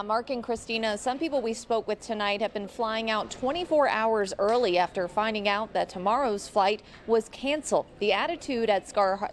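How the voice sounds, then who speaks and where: A woman's voice: medium pitch, a little hiss or hum. An American woman working as a field reporter, delivering a live TV news report. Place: live at an airport. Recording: faint background ambience on location.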